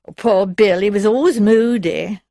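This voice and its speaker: A woman's voice: mid-range voice, moderate pace, mellow, dry recording, studio quality, lightly acted. A woman voicing a mean, flashy wife with a thin, reedy voice, plaintive and colloquial, in an audiobook. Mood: mournful. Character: mean, flashy wife putting on mournful sentimentality. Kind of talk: audiobook character voice.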